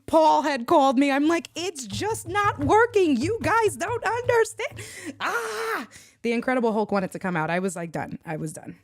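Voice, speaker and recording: Animated New York woman, bright dry voice. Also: high-pitched, normal speed, dry recording. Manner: animated, blunt, slips into Spanish. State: sick, coughing. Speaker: livestream host. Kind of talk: casual chat.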